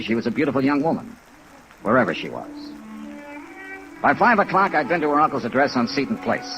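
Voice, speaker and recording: Fast, wry radio detective played by a man. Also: medium pitch, lo-fi sound, lightly acted. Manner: wry, clipped, matter-of-fact. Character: dry, persistent insurance investigator. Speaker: lead character and narrator. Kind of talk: 1950s radio detective acting. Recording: noisy vintage radio recording.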